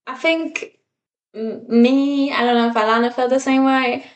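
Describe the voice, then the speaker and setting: A woman's voice: sits high, steady tone, measured pace, distant mic, highs cut off. A woman co-hosting a podcast in casual chat, informal and self-deprecating, rambling a little as she goes. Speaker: podcast co-host.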